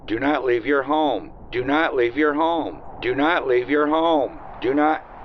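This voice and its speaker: Man voicing an insistent emergency alert, raised pitch. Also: a little slow, lo-fi sound, slight background noise, natural voice. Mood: urgent, escalating. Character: urgent emergency broadcast alert repeating warnings.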